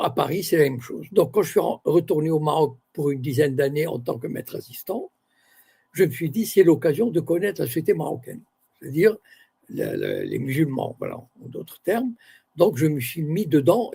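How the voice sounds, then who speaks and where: Gravelly, husky older man, measured. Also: a little high, wildly swooping pitch, warm tone. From Morocco. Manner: measured, searching for words, self-correcting. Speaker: author and university academic. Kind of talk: interview guest, reflective talk.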